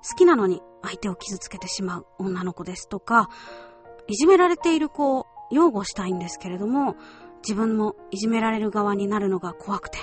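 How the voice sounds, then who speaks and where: Female radio host, mid-pitched voice, courteous, explanatory, speaking straight to listeners, soft music behind. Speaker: radio show host, voice actress. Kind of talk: polite solo radio show presentation.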